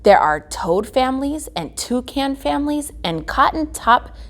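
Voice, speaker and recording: A woman reading a children's picture book aloud as a guest reader, phrasing it deliberately with emphasis. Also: high voice, big pitch swings. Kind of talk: children's storybook read-aloud.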